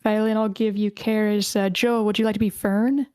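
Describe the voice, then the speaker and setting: A woman's voice: medium pitch, little pitch movement, normal speed, darkish tone, smooth, no room sound, clean studio sound. A woman casually hosting an online event, chatty and informal, often thinking aloud. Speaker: host of an online script table read.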